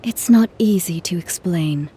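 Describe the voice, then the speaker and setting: An actress playing a gentle, earnest traveling musician in a video game, speaking politely and in measured phrases. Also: female voice, lowish voice, a little hiss or hum, close to an everyday voice. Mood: wistful, earnest. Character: gentle, earnest female traveling musician, composed but careworn. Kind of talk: video game cutscene acting.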